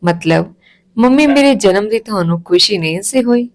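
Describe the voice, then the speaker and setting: A woman's voice: mid-range voice, lively intonation, normal speed, close to an everyday voice. Woman voicing a hurt, stubborn young daughter. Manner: insistent, wounded, direct.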